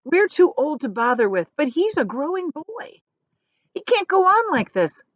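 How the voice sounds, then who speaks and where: A woman's voice: high-pitched, big pitch swings, normal speed, no echo, highs cut off, voice pushed up. A woman voicing a worried, pleading old grandfather in a storybook reading. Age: older.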